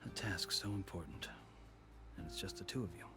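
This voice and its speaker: An actor playing a proud, courteous veteran warrior turned diplomat in a video game, speaking in formal, measured oratory. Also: male voice, low voice, music in the background. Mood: earnest, measured. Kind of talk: dramatic video game cutscene acting.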